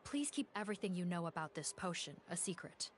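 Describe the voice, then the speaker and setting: Woman as female law officer, slightly low pitch, grave, formal, measured, courteous, video game acting. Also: animated, slight background noise, natural voice. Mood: formal, earnest.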